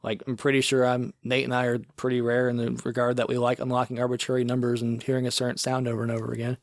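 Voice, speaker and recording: A man in a relaxed podcast chat, his voice sitting at an ordinary middle pitch. He rambles loosely and fills his sentences with "like" and "you know." The sound is close and dry, with no room around it. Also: fast, dry recording. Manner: rambling, filler-heavy, often says "like". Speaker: podcast co-host. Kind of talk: casual chat.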